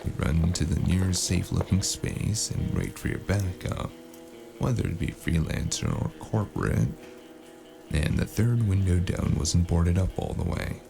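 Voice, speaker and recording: A man narrating a noir audio drama as the lead, dry and wry, with a deadpan manner, over a music score. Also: deep voice, measured pace, gravelly. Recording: music score playing underneath.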